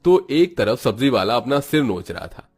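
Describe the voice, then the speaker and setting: A man's voice: medium pitch, big pitch swings, moderate pace, husky. A man working as a storyteller, delivering scripted story narration.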